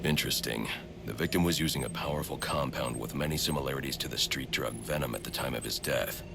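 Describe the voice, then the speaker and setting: An actor playing a grim, driven young masked vigilante in a video game, speaking tersely and gravely in a growling voice. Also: male voice, extremely low pitch, fast. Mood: analytical. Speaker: lead character, masked vigilante. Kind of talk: video game cutscene acting. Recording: loud vehicle and ambience noise behind.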